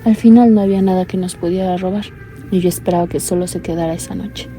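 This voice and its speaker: Woman acting as blind young caller, uneasy and earnest, flat delivery, mellow tone, faint music under. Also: quick pace, lightly acted, poor audio. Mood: anxious. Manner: low, earnest, uneasy confiding. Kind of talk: radio drama acting.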